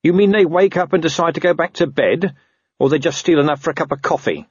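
Audiobook: a man playing a droll, world-weary commander, husky, dull dry recording. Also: a little high, fast, dry recording, pitched above the performer's own voice. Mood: sarcastic. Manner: dry, sarcastic. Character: sardonic middle-aged male police commander.

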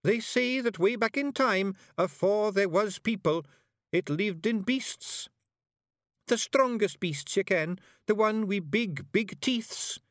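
A man voicing a tiny, nervous, learned young fairy bard, squeaky and earnest. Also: slow, a bit bright, no room sound, clean studio sound. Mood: ominous.

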